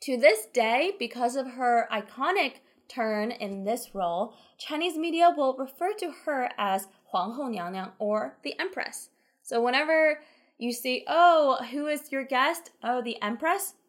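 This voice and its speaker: Female podcast co-host, enthusiastic, chatty, higher register. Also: animated, a little slow. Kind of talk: casual podcast chat about a drama.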